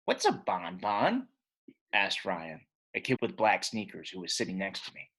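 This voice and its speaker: A man reading a children's book aloud as an expressive storyteller, with a husky voice. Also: sits low, moderate pace.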